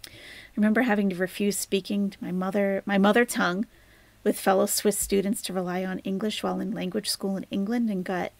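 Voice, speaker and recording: A woman's voice: medium pitch, little pitch movement, a little fast, vocal fry, a little mellow, no room sound. A woman hosting a live stream, chatting casually and rambling as she answers viewer comments, often dropping to near-whispered asides.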